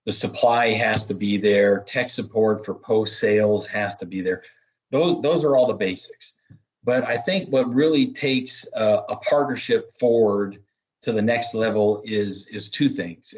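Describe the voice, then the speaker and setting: A man giving explanatory interview answers as a business development manager, halting at times and laying out points one by one. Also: lowish voice, rough recording.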